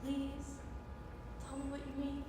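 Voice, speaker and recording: A woman's voice: high-pitched, completely flat delivery, a little slow. A young woman on stage playing an insecure, pleading girlfriend who craves reassurance. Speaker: actor in a staged play reading. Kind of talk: stage dialogue. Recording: very echoey hall, faint noise.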